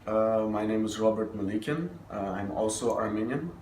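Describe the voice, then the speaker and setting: A young man raised in the Middle East, a university freshman, speaks in a lowish voice, often pausing on "uh" and restarting. He is picked up from a distance in a roomy space with a faint hiss under his voice. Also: a little mellow. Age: young adult. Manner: hesitant, frequent "uh" and restarts. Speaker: interviewee, university student. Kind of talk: casual interview answers. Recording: roomy, from off mic, faint noise.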